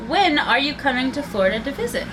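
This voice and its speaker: Family vlogger woman, chatty, giggly, slightly high voice. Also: sing-song, fast, noisy background. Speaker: channel host. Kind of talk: casual chat.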